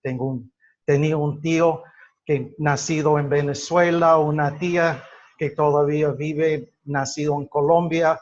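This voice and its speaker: A man gives an explanatory lecture, illustrating it with personal examples. His voice sits slightly high and he speaks very slowly and deliberately, halting between phrases. The recording is dull and lo-fi. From United States. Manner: halting, deliberate, with drawn-out pauses. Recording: dull lo-fi sound.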